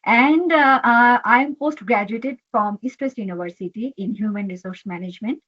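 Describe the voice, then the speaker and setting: Halting Bangladeshi woman, dull line. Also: a little high, a bit bright. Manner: earnest, halting, frequent fillers and "okay". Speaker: HR executive, guest. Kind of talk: interview answers.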